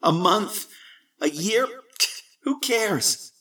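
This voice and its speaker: A man voicing a cocky young man of twenty, mocking and lively in his talk. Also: sits high, very slow, slightly rough, recorded from a distance, pitched above the performer's own voice, exaggerated voice. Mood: breezy.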